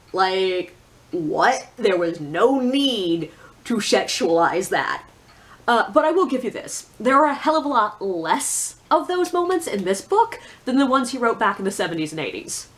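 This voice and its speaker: A woman giving a casual, opinionated book review in a blunt, sardonic, conversational way with emphatic asides. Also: mid-range voice.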